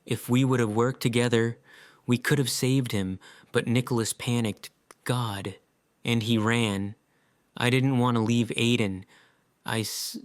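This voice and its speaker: A man acting an earnest, guilt-ridden survivor in an audio drama, with halting, emotional delivery. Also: mid-range voice, dry recording, lightly acted. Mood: anguished, guilty.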